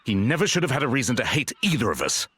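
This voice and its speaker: A man voicing a grave, honourable, regretful warrior in a video game, earnest and measured. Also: mid-range voice, big pitch swings, fairly bright tone, slightly rough. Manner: earnest, measured, formal, brooding. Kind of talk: dramatic video game voice acting.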